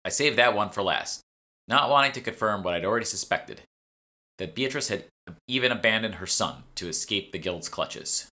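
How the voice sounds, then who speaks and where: A man narrates a first-person novel as its author. His voice sits a little low and has a bright, forceful edge. The delivery is scripted storytelling for an audiobook. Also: lively intonation, normal speed. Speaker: author reading own audiobook.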